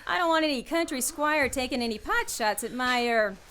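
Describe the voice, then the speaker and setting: A woman's voice: high voice, expressive, brisk, fairly bright tone, slight background noise. An actress playing a brash, fun-loving young city woman in a radio play, flippant and slangy. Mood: wry.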